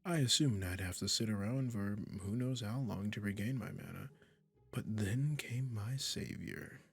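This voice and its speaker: A man's voice: fairly low pitch, moderate pace, husky, faint music behind. A man playing a possessive, sweetly menacing male witch in an intimate roleplay audio drama, coaxing and condescending with controlling endearments. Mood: smitten, smug.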